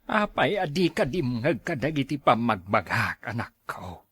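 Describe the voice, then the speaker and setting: A woman's voice: a bass voice, sing-song, a little slow, husky, no echo, full character voice. An actress with a Filipino accent playing a worried, reproachful mother in an Ilocano radio drama. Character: worried, reproachful mother scolding her grown child.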